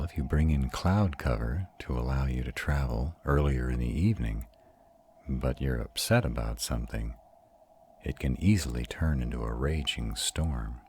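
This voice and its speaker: A man voicing a devoted, self-assured male vampire who patiently guides a newly turned mate in a scripted roleplay audio, speaking in a soothing, intimate, deliberate way. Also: a little mellow, slight background noise. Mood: smooth, instructive. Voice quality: very deep, husky.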